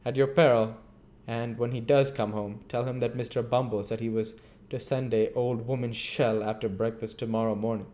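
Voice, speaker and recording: A man's voice: mid-range voice, little pitch movement, takes it slowly, few pauses, lightly acted. A man voicing a pompous, indignant middle-aged parish beadle who blusters and moralizes sternly.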